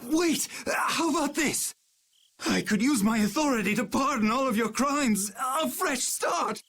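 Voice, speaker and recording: A man's voice: very high voice, animated, measured pace, fairly bright tone, a little hiss or hum, full character voice. A man playing a vain, cowardly noble knight captain in a video game, with a haughty, theatrical, pleading delivery. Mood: desperate bargaining. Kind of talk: dramatic video game voice acting.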